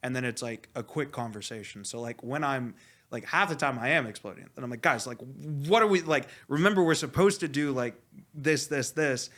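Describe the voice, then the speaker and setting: A man speaking as a coach in a casual interview, animated and blunt, rambling with lots of "like" and some swearing. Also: medium pitch, moderate pace. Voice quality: loud voice. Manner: animated, rambling, heavy use of "like". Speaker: esports coach being interviewed. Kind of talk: casual, candid interview talk.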